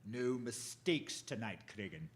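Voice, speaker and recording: An actor playing a commanding, menacing crime boss, imperious and deliberate in a theatrical radio drama style. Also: male voice, medium pitch, animated, natural voice. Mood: brisk, suspicious.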